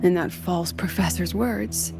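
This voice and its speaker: A woman playing a composed, shrewd academy scholar in a video game, speaking in a measured, explanatory way. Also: fairly low pitch, gravelly. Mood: hushed, resolute. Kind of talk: video game dialogue acting. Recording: game music behind. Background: game music.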